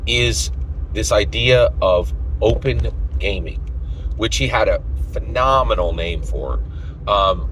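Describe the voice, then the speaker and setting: Low-voiced man giving emphatic opinion commentary. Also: a little hiss or hum, low-quality recording. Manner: emphatic, repeats phrases, tags points with questions. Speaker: tabletop gaming commentator.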